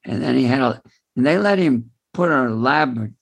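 A man chatting in a group discussion, halting and repeating words as he searches for the next one. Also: mid-range voice, expressive, creaky, slightly rough, no room sound. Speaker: book club participant.

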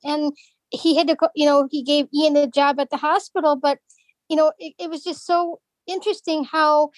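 A woman's voice: sits high, fairly even intonation, moderate pace, dry recording. A woman in a book club, giving a long rambling comment with many restarts. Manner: long rambling run-on remarks. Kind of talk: casual group chat.

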